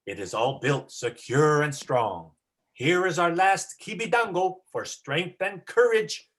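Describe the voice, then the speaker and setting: A man's voice: fairly high pitch, a bit bright. A man playing a brave, kindly young hero with earnest, declamatory delivery in a folktale reading.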